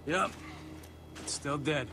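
An actor playing a cocky American army sergeant in a video game, a mock-formal joker. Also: male voice, fairly high pitch, takes it slowly, music in the background. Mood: wry, joking.

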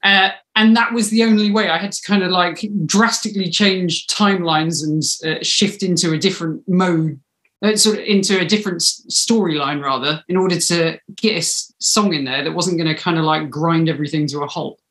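A woman's voice: lowish voice, brisk, fairly bright tone, reverberant. A woman in her thirties chatting in an interview, thinking aloud with some hesitation, on a video call from a room at home. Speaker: audio drama writer, interviewee. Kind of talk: casual interview conversation. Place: small home room, indoors. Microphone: video call, mic at a distance.

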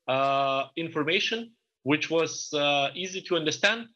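A man's voice: a little high, lively intonation, sharp tone. A man in his thirties answering panel questions from an office over a video call, full of fillers and restarts. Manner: halting, many restarts and fillers. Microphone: video call webcam. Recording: dull lo-fi video call audio.

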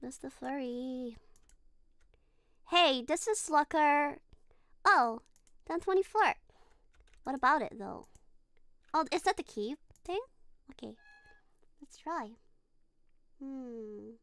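A woman's voice: high voice, lively intonation, slow pace, long pauses, a bit bright, dry recording. A woman streaming a horror game, chatting casually, giggling and talking to her character. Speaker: VTuber streamer. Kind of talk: casual gaming livestream chat.

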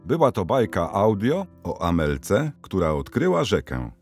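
A man announces scripted credits. His voice is deep with very varied intonation. Music plays behind him. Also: huge pitch swings, measured pace, a little mellow.

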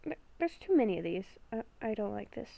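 A woman playing a nervous young female werewolf in an improvised Minecraft roleplay, halting and stammering as she talks. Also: on the high side, creaky, dry recording, dull audio, exaggerated voice. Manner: halting, stammering, repeats herself. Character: nervous young woman werewolf, hearing ghostly voices at night.

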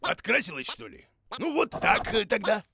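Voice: mid-range voice, wildly swooping pitch, normal speed, vocal fry, bright, forceful tone, breathy edge, no echo, full character voice. An actor voicing a grumpy, boastful bearded male creature who teaches in a children's cartoon, blustering and grumbling as he goes. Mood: exasperated.

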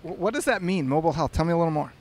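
A man's voice: fairly high pitch, animated, very fast, darkish tone, a little hiss or hum. A man in his thirties hosting on-camera interviews at an event with a handheld microphone. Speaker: interviewer, blog host. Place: large convention hall.

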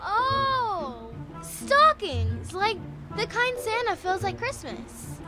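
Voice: very high-pitched, big pitch swings, extremely slow, over loud music, exaggerated voice. A performer playing a curious, innocent, literal-minded little boy in a family audio drama, asking eager, naive questions. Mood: puzzled.

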